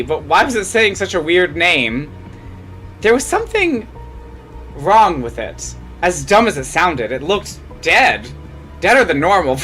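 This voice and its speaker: A woman's voice: on the low side, a bit bright. A woman reading fan fiction aloud as a dramatic story narrator.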